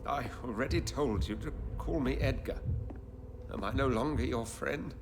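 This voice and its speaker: An actor playing an anxious, defensive doctor in a video game, speaking in a formal, genteel, pleading way. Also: male voice, mid-range voice, normal speed, creaky, noisy background, lightly acted. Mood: resigned. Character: anxious, defensive English doctor with secretive scientific zeal. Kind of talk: dramatic video game cutscene acting.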